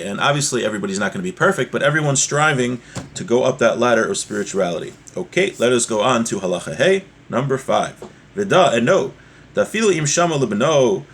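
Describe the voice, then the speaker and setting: A man's voice: mid-range voice, a bit bright. Male religious teacher, noisy recording. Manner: explanatory, with frequent check-in questions. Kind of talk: informal religious lesson, reading source text then translating and explaining.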